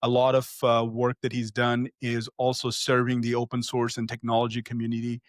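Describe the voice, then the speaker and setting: Podcast host guy, mid pitch, easygoing with lots of ums, dry close mic. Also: brisk, no room sound. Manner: relaxed, with fillers and self-corrections. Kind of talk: interview introduction.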